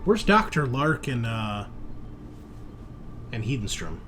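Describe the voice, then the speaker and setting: A man's voice: medium pitch, very animated, takes it slowly, a bit bright. Casual male game master, faint background noise. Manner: casual, conversational. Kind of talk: running a tabletop roleplaying game.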